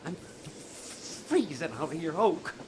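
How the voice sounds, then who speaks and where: An actor playing a desperate, guilt-ridden middle-aged father and professor in an audio drama, halting and pleading. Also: on the high side, very animated, background noise, full character voice. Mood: freezing, alarmed.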